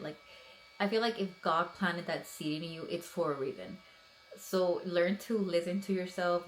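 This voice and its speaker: A woman's voice: fairly low pitch, slight background noise, rough recording. A woman in her thirties giving earnest, encouraging advice in a casual vlog, talking in loose runs with many fillers. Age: 30s. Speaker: police officer vlogger.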